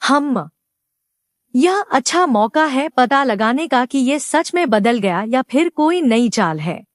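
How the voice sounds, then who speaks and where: A woman voicing a gentle, cautious, wise female goat in a cartoon moral story, earnest and polite. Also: high-pitched, lively intonation, slow, no room sound, clean studio sound. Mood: thoughtful.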